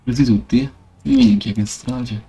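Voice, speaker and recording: A man's voice: medium pitch, wildly swooping pitch, slow, warm tone, slight background noise. A man casually bantering and trolling as he plays an online game, mock-scolding in slangy speech. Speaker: streamer playing and trolling on a roleplay server.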